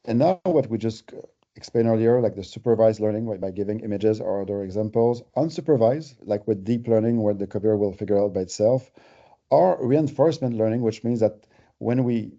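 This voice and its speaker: A man's voice: fairly low pitch, fairly quick, warm tone, dull audio. A man with a French Canadian accent, giving a conversational, explanatory webinar presentation with everyday examples. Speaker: company presenter.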